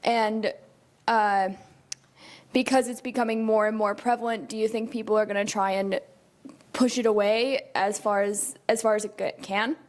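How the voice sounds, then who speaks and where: A woman's voice: mid-range voice, steady tone, normal speed, a little mellow. A young woman student asking a question from the audience, casually, saying "like" a lot. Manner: casual, says "like" often. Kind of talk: question from the floor.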